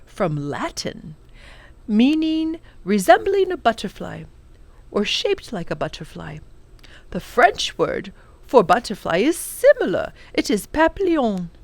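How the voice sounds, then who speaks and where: A woman's voice: a little high, huge pitch swings, measured pace, dry recording, full character voice. A woman voicing a kindly, learned elderly male professor in a children's storybook, exclaiming and savouring long words. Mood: instructive. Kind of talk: children's storybook character reading.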